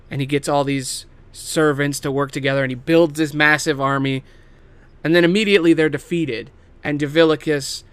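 A man giving a casual, semi-improvised story pitch as a host, emphatic and theatrical, swearing casually and dropping into offhand asides. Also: a bit bright, smooth. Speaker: host pitching video game movie adaptations.

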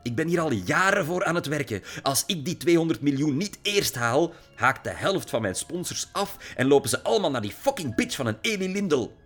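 A man voicing an ambitious, furious teenage girl influencer in an audiobook, shrill and bursting. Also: big pitch swings, brisk, few pauses, fairly bright tone, faint music behind. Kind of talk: audiobook character voice.

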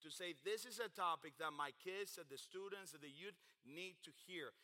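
Dominican-accented male preacher, bright and higher-pitched. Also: normal speed. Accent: Dominican Spanish. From Dominican Republic. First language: Spanish. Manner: informal, stumbles over words and corrects himself. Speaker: youth pastor. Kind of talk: sermon to a men's Bible study group. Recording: long hall reverb on the voice.

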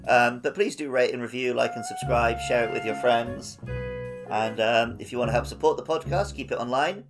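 Male co-host, medium pitch, wry and conversational, faint music bed underneath. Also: normal speed. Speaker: podcast co-host. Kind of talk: casual video game discussion. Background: background music.

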